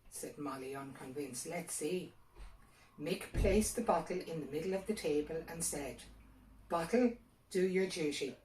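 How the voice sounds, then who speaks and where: Irish lady reading a folktale, lowish voice, kinda far from the mic in an echoey room. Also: darkish tone. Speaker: storyteller. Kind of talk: scripted folktale narration.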